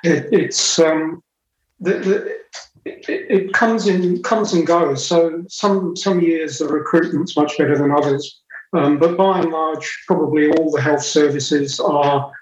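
A man's voice: a little high, slow pace, reverberant, dull audio. A man from England with a Cockney and Essex accent, a psychiatrist answering interview questions hesitantly with many fillers and repeated words. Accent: Cockney and Essex English. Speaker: psychiatrist guest.

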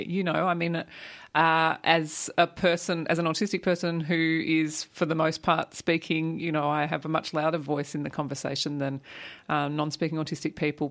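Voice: mid-range voice, fairly bright tone. A writer who also co-hosts on the station, talking eagerly in a radio studio and rambling with fillers and asides. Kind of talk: enthusiastic radio interview chat. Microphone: studio microphone.

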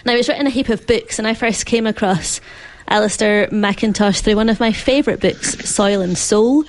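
A woman's voice: mid-range voice, normal speed, slight background noise, poor audio. A woman with a Scottish accent hosting a radio interview from a studio. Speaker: radio show host.

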